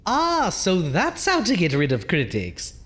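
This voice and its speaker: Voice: mid-range voice, wildly swooping pitch, unhurried, a bit bright, soft background music. Someone playing a theatrical, swooping horror-show doctor. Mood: breezy, amused. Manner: theatrical, musing, breezily oblivious. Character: campy, absent-minded horror-show doctor host. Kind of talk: comic horror audio drama.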